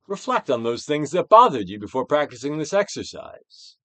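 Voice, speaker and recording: A man giving a dramatic, Shakespearean-style reading of a self-help book, deliberate and theatrical, with drawn-out emphasis. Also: sing-song, no echo. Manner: theatrical Shakespearean delivery, swinging from hushed to booming. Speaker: audiobook reader.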